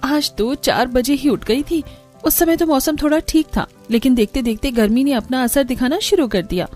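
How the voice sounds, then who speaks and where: A woman's voice: high voice, normal speed, a little mellow, faint music behind, pitched above the performer's own voice. A woman voicing an earnest young daughter-in-law from a poor village, worn by the heat, in a cartoon. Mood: weary, complaining.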